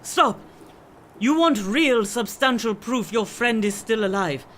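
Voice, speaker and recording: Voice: medium pitch, animated, slow, fairly bright tone, slight background noise. An actor in a radio play playing a mysterious veiled swami who tells strange tales in a grave, formal, portentous manner. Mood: urgent warning.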